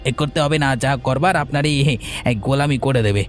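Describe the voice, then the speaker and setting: An eager cartoon henchman who rattles along quickly, played by a man. He fawns on his village boss, with light music in the background. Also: mid-range voice, close to an everyday voice. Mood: fawning. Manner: fawning, eager. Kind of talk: Bengali fairy-tale cartoon dialogue.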